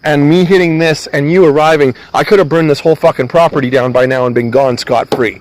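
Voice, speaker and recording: Middle-aged man, high voice, angry, emphatic, heavy swearing. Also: steady tone, a little fast, dry recording, poor audio. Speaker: van-dwelling vlogger. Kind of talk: agitated venting to a police officer. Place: outdoors at a rural property.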